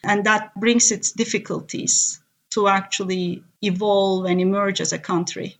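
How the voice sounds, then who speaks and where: A woman's voice: medium pitch, fairly even intonation, unhurried, a bit bright. A woman from Turkey with a Turkish accent, a native Turkish speaker, chatting casually as a guest on a podcast. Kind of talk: casual conversational interview.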